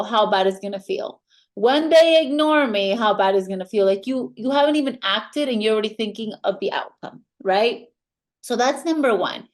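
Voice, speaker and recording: A woman with a foreign accent giving a motivational training talk as a team mentor, emphatic and insistent. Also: fairly high pitch.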